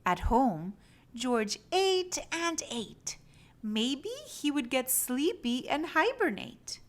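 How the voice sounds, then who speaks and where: A woman reading a children's storybook aloud in an expressive, animated storytelling style. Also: high-pitched, slow. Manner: animated, acts out animal sounds and exclamations.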